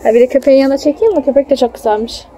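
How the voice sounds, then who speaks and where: A woman's voice: sits high, racing, mellow. A young woman chatting casually with her small child, affectionate and coaxing, as she films outdoors on a phone. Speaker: mother filming her child. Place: outdoors in a theme park. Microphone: handheld phone, behind the camera. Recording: noisy outdoor phone video.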